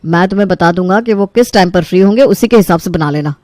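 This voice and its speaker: A woman playing an easygoing young husband in an animated story, with a casual, coaxing and teasing manner. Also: on the low side, quick pace, dry recording, studio quality, close to an everyday voice. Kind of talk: Hindi animated story voice acting.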